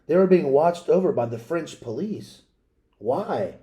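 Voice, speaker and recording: A man reading a children's picture book aloud as a storyteller, in a measured, dramatic way with pauses and asides to listeners. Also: fairly high pitch, expressive, warm tone.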